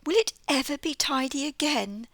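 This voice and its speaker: A prim, fretful little mouse lady who fusses over her tidy home, as a British woman voices her in a storybook reading. She speaks fairly high, and her pitch lifts and falls. The sound is dry and close. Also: animated, normal speed, fairly bright tone, no echo. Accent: British English. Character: small fussy tidy female mouse.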